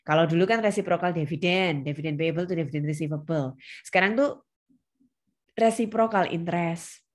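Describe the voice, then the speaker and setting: A woman's voice: fairly low pitch, moderate pace. A woman lecturing to students, explaining step by step with emphasis on key words and checking that they follow. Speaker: accounting lecturer. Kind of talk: spoken lesson with questions to students.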